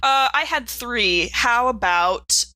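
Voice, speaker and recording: A woman playing a tabletop roleplaying game, chatting casually and speaking for her character in a playful, animated way. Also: on the high side, slow, low-quality recording.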